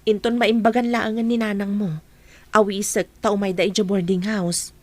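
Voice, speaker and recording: A woman's voice: mid-range voice, level tone, moderate pace, a little mellow, no room sound, natural voice. A middle-aged woman playing a kindly, helpful auntie in an audio drama, with an Ilocano Filipino accent.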